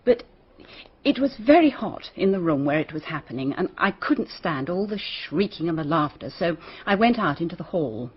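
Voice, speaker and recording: A woman's voice: fairly low pitch, very animated, normal speed, slightly rough, dull audio. A woman playing a prim, guarded English schoolteacher in a radio drama, proper and defensive, with an English accent. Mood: recounting, uneasy.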